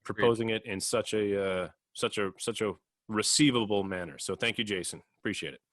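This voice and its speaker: Lowish voiced guy on a podcast, earnest n reflective, halting, dry sound. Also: a bit bright, no room sound. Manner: earnest, halting with repeated phrases. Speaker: podcast panelist. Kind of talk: casual, reflective discussion.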